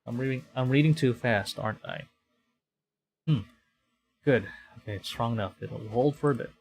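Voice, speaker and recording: A man livestreaming a visual novel, reading it aloud with excitable, dramatic delivery and chatty casual asides. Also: medium pitch, normal speed, creaky, slight background noise. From Philippines. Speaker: streamer. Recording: music behind the voice. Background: game music.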